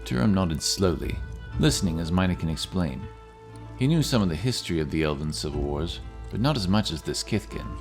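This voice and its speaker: Deep-voiced man narrating a fantasy story. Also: lively intonation, a little fast. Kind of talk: scripted audiobook narration. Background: music.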